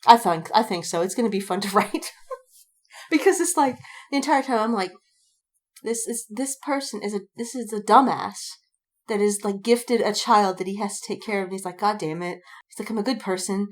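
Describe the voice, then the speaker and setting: A woman's voice: mid-range voice, moderate pace. A woman podcast co-host chatting casually, telling stories in a rambling way with acted-out quotes and frequent swearing. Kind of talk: casual chat.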